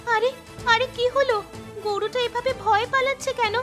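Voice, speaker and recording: Woman voicing a very high, inquisitive girl. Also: steady tone, normal speed, a bit bright, soft background music. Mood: curious. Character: curious, chatty teenage village girl, concerned friend. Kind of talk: cartoon dialogue.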